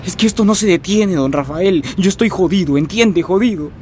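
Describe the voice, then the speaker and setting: An actor with a Latin American accent playing a frightened young fugitive in a radio drama, pleading and agitated. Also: male voice, sits high, a little fast, a little mellow, a little hiss or hum, close to an everyday voice. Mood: despairing. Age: young adult. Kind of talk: Spanish-language radio drama acting.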